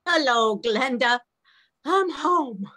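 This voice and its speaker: Poor old granny played by an older woman: high voice, woeful, hesitant, old-time radio melodrama. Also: lively intonation, takes it slowly, bright, forceful tone, full character voice. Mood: weary. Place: at home on a group video call.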